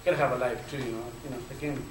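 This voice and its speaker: A man's voice: mid-range voice, fairly even intonation, very fast. A man from Chile with a Chilean Spanish accent tells his personal story as a panelist, speaking with a stutter and many fillers. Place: lecture hall. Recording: echoey hall from far off, faint noise.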